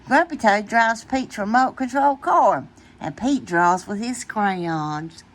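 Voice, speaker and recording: Slow, animated woman reading bedtime stories. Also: medium pitch. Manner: expressive, deliberate. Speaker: storytime reader.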